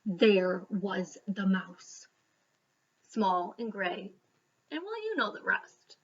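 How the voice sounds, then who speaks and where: A woman reading a children's story aloud as a school reader, expressive, with dramatic emphasis and pauses. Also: medium pitch, breathy edge, far from the mic, muffled recording.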